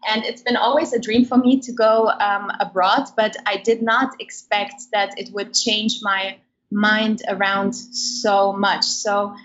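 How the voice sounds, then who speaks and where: A woman's voice: mid-range voice, level tone, measured pace, fairly bright tone, lo-fi sound. A woman who grew up in Germany, a podcast guest explaining her project with frequent hesitant ums. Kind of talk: interview conversation.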